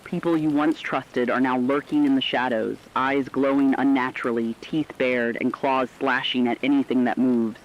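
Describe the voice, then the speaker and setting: A man's voice: medium pitch, fairly even intonation, normal speed, few pauses, natural voice. Serious male radio reporter, acted, slightly muffled. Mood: serious, urgent. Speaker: radio emergency broadcast reporter. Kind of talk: scripted horror radio narration.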